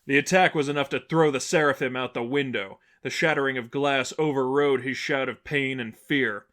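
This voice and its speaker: A man's voice: mid-range voice, a bit bright. A man reading an action story aloud as scripted narration. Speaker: narrator reading fan fiction.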